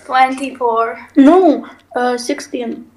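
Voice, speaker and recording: A woman's voice: high-pitched, slow pace, darkish tone, rough recording. A teenage girl from Lithuania on a video chat, giving short, shy answers. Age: teens. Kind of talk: casual chat. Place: dim room with red light.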